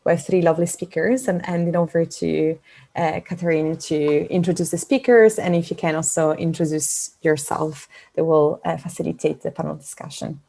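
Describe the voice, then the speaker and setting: Dark-voiced woman organiser. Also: deep voice, steady tone, moderate pace, creaky. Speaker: event organiser. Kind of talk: event announcement.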